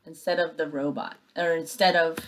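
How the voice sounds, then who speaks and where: A woman teacher reading aloud and giving step-by-step instructions to young pupils in an expressive, emphatic way. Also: sits low, moderate pace, slight background noise.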